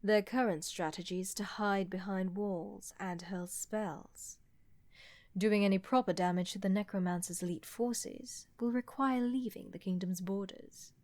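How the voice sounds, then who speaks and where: A woman playing a smug, condescending older empress in a fantasy audio roleplay, with measured, deliberate delivery. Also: medium pitch, a little mellow, no echo, close to an everyday voice. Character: haughty, older, condescending female ruler of a vast empire.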